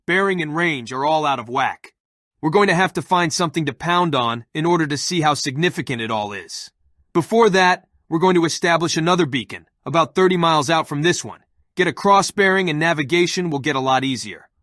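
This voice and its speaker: Guy playing army analyst type explaining stuff, slightly high voice, brisk, very dry sound. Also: lively intonation, a bit bright, no room sound. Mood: matter-of-fact. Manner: explanatory, matter-of-fact. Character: brisk, analytical military technical officer.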